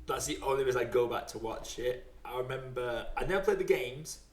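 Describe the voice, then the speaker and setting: A man's voice: mid-range voice, brisk, slight background noise. A British man in his twenties chatting casually and jokily about films, often laughing as he talks. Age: 20s. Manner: chatty, jokey, laughs often while talking. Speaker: podcast host. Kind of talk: casual film chat. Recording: echoey room.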